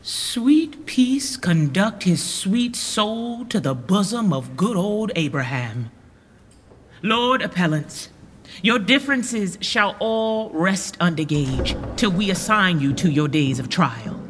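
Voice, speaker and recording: A commanding lord in Shakespearean radio drama. He speaks with measured authority in a bright, forceful voice, sweeping up and down in pitch. A long hall reverb follows each phrase. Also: big pitch swings, breathy edge, exaggerated voice. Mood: solemn. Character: commanding, controlled male lord presiding over quarrels.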